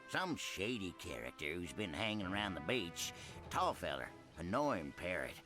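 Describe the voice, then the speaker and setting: A man's voice: sits low, sing-song, normal speed, over music. A man playing a timid, grumbling small-town mechanic in a video game, with a rural American accent and a folksy, rambling manner. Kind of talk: video game cutscene acting.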